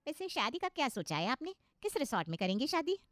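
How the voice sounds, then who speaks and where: A woman's voice: sits high, very animated, fast talker, fairly bright tone, no room sound, clean studio sound, pitched above the performer's own voice. A woman voicing a snobbish modern mother of the bride in a cartoon story, haughty and mocking, mixing in English. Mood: haughty, scornful.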